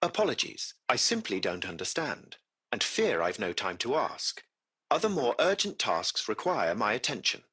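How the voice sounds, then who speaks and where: Game casting: a polite AI chauffeur, formal and precise, very animated pitch, bright forceful edge. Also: male voice, moderate pace, breathy edge, full character voice. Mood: apologetic. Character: courteous AI taxi-service machine, formal and butler-like. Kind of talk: scripted video game voice acting.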